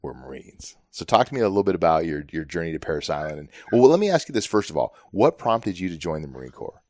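Deep-voiced podcast host, chummy. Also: male voice, fast, no room sound, studio quality. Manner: relaxed, chummy, laughs easily. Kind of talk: casual interview chat.

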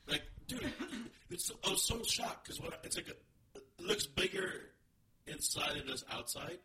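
A man's voice: a little high, normal speed. Comedian guy rambling on a podcast, voice jumps all over, crowd noise behind. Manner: rambling, restarts a lot, animated. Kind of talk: casual banter.

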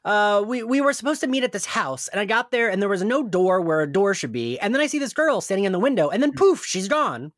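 A woman's voice: mid-range voice, very animated, quick pace, hardly any pauses, no room sound, studio quality, natural voice. A woman playing a worried, urgent friend in a podcast script read. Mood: frantic.

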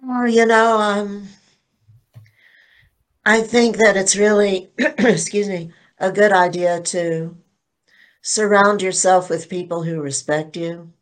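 An older woman speaking as a panelist in a casual online discussion, slow and thoughtful, often restarting her sentences. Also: mid-range voice, fairly even intonation. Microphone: video call.

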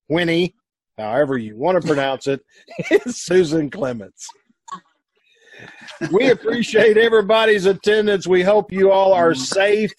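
A man's voice: high-pitched, no room sound. A man acting as announcer and host, delivering sign-offs and credits in old-time radio style.

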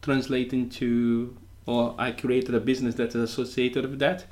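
A man's voice: mid-range voice, little pitch movement, a little mellow. Brazilian-born man, English, interview guest; reflective, hesitant delivery with frequent self-corrections. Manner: thoughtful, halting, many restarts. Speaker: guest, co-living entrepreneur. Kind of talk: conversational interview answers.

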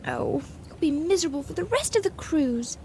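A woman's voice: high-pitched, big pitch swings, normal speed, a little mellow. An actress playing a cautious, fretting young woman, a worried confidante in a radio drama. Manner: fretful, cautioning. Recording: noisy 1980 radio drama recording.

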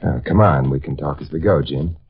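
An actor playing a suave amateur sleuth with dry, teasing wit in a 1950s radio drama. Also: male voice, a bass voice, fairly quick, slight background noise. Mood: grave, calm. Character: suave, wry adult male amateur sleuth. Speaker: radio actor, series lead. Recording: muffled vintage radio recording.

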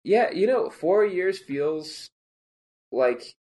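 A man's voice: high voice, sing-song, drawn-out words, darkish tone. A man co-hosting a podcast, chatting casually and telling a joking anecdote. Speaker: podcast co-host. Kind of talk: casual chat.

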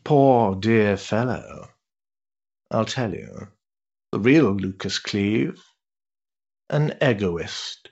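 A worldly older duke, played by a male actor, speaks in a low, unhurried voice with a husky edge. His period-drama delivery is pompous, ornate and drily sardonic. The recording is somewhat muffled. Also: lively intonation, darkish tone, heavily acted. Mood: dryly scornful. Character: urbane, cynical older English aristocrat, a duke and uncle. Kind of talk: period stage-drama acting.

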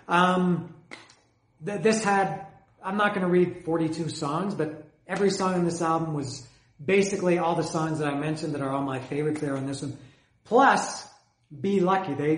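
A man's voice: on the high side, roomy sound. A man chatting casually about his music collection, rambling and hesitant, often repeating words, saying he is losing his voice. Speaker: music collector reviewing albums.

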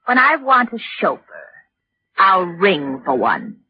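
An actress playing a defiant, scheming unfaithful wife in a 1940s radio drama. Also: female voice, fairly low pitch, huge pitch swings, takes it slowly, heavily acted. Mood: cold, defiant. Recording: muffled, noisy vintage radio broadcast.

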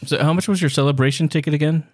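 A man's voice: fairly high pitch, fairly even intonation, racing, dry recording, studio quality. A man co-hosting a podcast with casual fan banter, joking and slangy. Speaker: podcast co-host.

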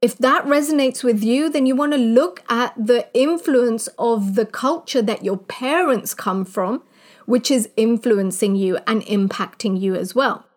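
A woman's voice: on the high side, measured pace. A woman from Kenya, a life coach giving an online mini training, who talks straight to the listener in an earnest, guiding, motivational way.

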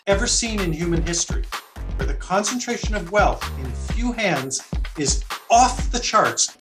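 A woman's voice: mid-range voice, expressive, normal speed. A woman speaking in a short clip within a news report. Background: clear background music.

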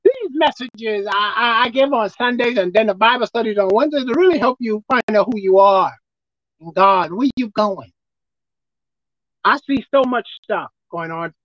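A man with a squeaky voice preaching a sermon as pastor, emphatic and forceful. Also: animated, moderate pace, no room sound, muffled recording. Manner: emphatic, pitch leaping up and down.

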